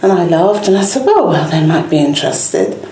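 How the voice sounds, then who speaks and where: A woman's voice: low voice, animated, moderate pace, warm tone. An older woman reminiscing in an interview, telling anecdotes in a conversational way. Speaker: councillor interviewee. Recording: echoey room with faint noise.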